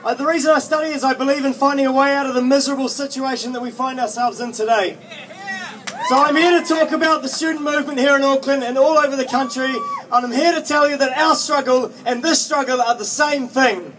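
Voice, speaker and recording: Young man orating very high, heard from afar. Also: animated, fairly quick. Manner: earnest, emphatic oratory. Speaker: student movement speaker, postgraduate student. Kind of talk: prepared political rally speech. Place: outdoors at a street march. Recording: distant outdoor capture with faint noise.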